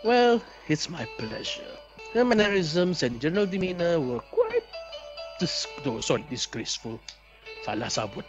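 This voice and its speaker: A man with a lightly high voice reads a visual novel aloud as a gaming host. His delivery swings widely in pitch, with stumbles and corrections. The sound is a little dull and lo-fi. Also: huge pitch swings, moderate pace. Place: small indoor room, seated at webcam. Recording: slightly dull, lo-fi microphone sound. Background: faint game music.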